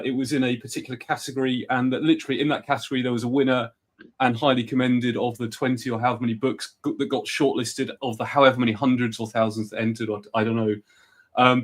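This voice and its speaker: A man with a husky voice from a cold, chatting enthusiastically as a podcast guest, rambling with many fillers. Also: medium pitch. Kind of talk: casual conversation.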